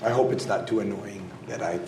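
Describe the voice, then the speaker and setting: A man with a raspy voice, hoarse from a cold, speaking as a scholar on a conference panel. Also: on the low side, steady tone, brisk. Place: hotel conference room. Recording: echoey room, audible background noise.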